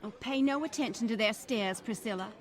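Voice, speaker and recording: A woman acts out a genteel employer in a radio-style drama. Her voice is high, and she speaks with sugary, patronizing politeness. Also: brisk, some chatter behind, close to an everyday voice. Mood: gracious, awkward. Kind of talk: old-time radio style drama dialogue.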